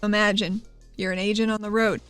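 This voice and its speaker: A woman's voice: medium pitch, level tone, a little fast, a bit bright, clear, resonant tone, no echo, faint music behind. A woman playing a tabletop game in character, talking in a bubbly, playful and enthusiastic way. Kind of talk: in-character role-play.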